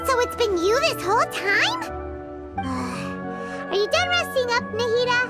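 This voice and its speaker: A woman voicing a tiny, excitable childlike fairy companion in a video game, with a squeaky voice and exclamatory manner. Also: a little slow, loud music behind the voice, exaggerated voice. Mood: chatty, eager. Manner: exclamatory, refers to herself by name.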